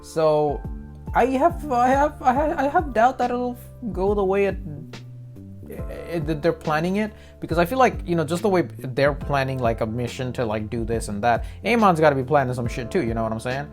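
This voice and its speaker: A man's voice: fairly high pitch, expressive, moderate pace. A man hosting a reaction video, giving casual, unscripted commentary in a rambling, slangy way. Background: music behind the voice.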